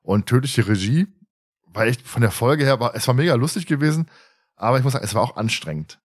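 A man's voice: mid-range voice, animated, fast. A man hosting a podcast in casual, chatty conversation with a teasing manner. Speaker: podcast host.

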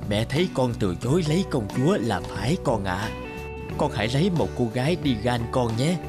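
An elderly storybook mother , acted out by a man, urges fondly and insistently. The voice is mid-pitched for a man, with clear music beneath. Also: big pitch swings, fairly quick, lightly acted. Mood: fond urging. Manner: fond, insistent urging. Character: elderly mother, fond, nagging for a daughter-in-law. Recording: music under the voice.

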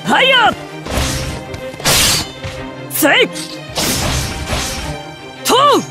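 A woman's voice: very high-pitched, sing-song, very slow, over music, heavily acted. A woman voicing a courteous, gallant young male masked general in a video game, speaking in formal, noble martial declarations. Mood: spirited, commanding. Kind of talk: Japanese game battle voice lines.

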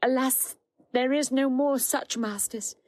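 A woman's voice: high voice, fairly even intonation, unhurried, a little mellow, dry recording. An actress playing a grieving young woman disguised as a faithful page boy in a Shakespearean audio drama, speaking verse in a plaintive, earnest way. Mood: sorrowful.

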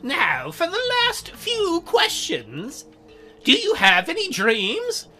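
A woman playing a coy, teasing, bashful young demon girl in a visual novel, with measured delivery. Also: extremely high pitch, wildly swooping pitch, bright, forceful tone, soft background music, exaggerated voice. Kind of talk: visual novel character acting.

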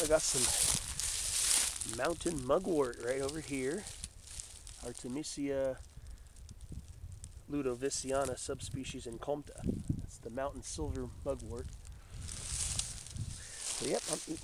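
Raspy man, mid-pitched, getting over a cold, casual nature vlogger talking to his camera outdoors, background noise clearly audible. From Southern California. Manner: musing, relaxed botanical naming. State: getting over a head cold, deeper and raspier than usual. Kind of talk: casual walking commentary. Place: outdoors in a mountain forest before dawn.

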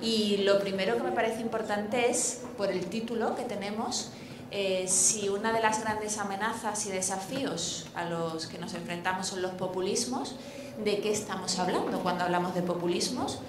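A young woman moderating a panel on a large indoor stage, posing measured questions into a handheld mic. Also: medium pitch. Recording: distant, echoey hall with noise.

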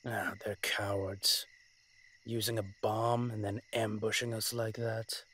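A man playing a commanding, weary dragon-shifter mafia boss in a dramatic roleplay audio, speaking in a measured, authoritative way. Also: lowish voice, level tone, pushed and bright, heavily acted. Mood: grave, commanding.

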